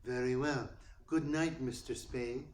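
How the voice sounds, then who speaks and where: A man's voice: mid-range voice, fairly even intonation, a little slow, a little mellow, natural voice. A man playing a polite, fussy yet menacing crook in a radio play, formal and precise, easily indignant. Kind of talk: radio play acting in 1940s noir manner. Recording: roomy, echoey sound with faint noise.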